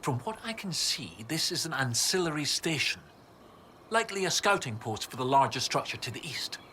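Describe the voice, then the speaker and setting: An actor voicing a bookish, excitable male historian in a video game, speaking in precise, scholarly phrases. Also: on the high side, moderate pace, a little hiss or hum. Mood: delighted. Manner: scholarly, animated, precise wording. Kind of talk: video game dialogue acting.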